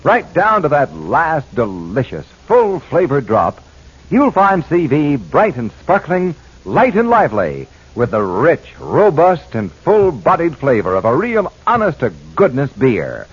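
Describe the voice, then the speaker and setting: A male radio announcer giving an enthusiastic sales pitch for a product. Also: wildly swooping pitch, unhurried, slightly rough. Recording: dull old radio broadcast.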